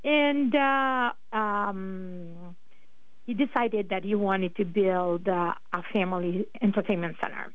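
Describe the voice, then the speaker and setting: Italian lady on local radio, talks real slow w lots of uhs, dry thin phone-ish sound. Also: mid-range voice, no room sound, phone-quality sound. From northern Italy. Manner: slow, hesitant, many fillers. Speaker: radio guest. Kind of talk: casual radio interview.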